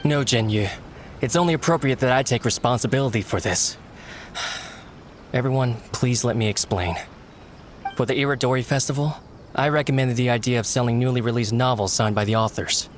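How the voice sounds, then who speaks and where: An earnest young male editor, played by a man, sincere and apologetic as he explains himself. His voice sits at an ordinary mid pitch for a man. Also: normal speed, slight background noise, lightly acted.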